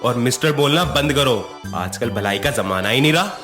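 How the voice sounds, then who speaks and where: Man voicing an expressive young college guy. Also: medium pitch, moderate pace, soft background music, lightly acted. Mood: indignant. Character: dashing young college man, indignant yet romantic. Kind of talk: Hindi cartoon dialogue with English phrases.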